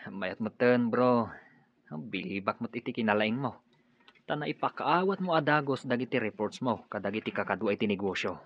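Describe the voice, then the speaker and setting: A man's voice: mid-range voice, lively intonation, normal speed, muffled recording. An Ilocano-accented man playing a friendly, joking buddy in a radio drama. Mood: chummy. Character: friendly, joking male buddy and business colleague.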